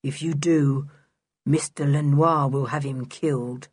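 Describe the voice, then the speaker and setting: A woman voicing a sullen, menacing male servant in a deliberate monotone for a children's storybook. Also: rumbling low, slightly rough, no echo, studio quality, voice pushed down, heavily acted. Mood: cold, menacing.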